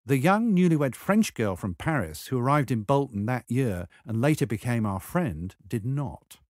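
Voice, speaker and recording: Male memoir narrator, wry and measured, with animated intonation and a dry studio-like sound. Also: medium pitch, no echo. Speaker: memoir reader.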